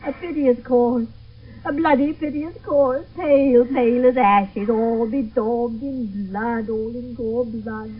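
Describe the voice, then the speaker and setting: An old nurse in a radio Shakespeare play, garrulous and faithful, lamenting in repeated, drawn-out phrases. Her fairly high voice comes through a narrow, tinny old broadcast with clear hiss and noise. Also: fairly even intonation. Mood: wailing grief. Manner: wailing, repetitive, drawn-out lamenting. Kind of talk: 1970s radio Shakespeare acting.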